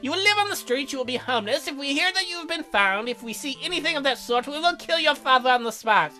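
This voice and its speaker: A woman's voice: high voice, expressive, fast, sharp tone, soft background music. A woman voicing a zealous, angry young female vigilante in a visual novel, preaching and giving bossy orders. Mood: commanding.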